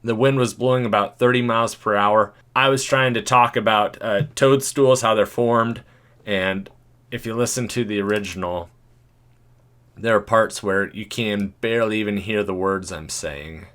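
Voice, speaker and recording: A man with a deep, low-set voice talks through a software tutorial in a loose, chatty way. He thinks aloud and chuckles often. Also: moderate pace. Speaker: video creator.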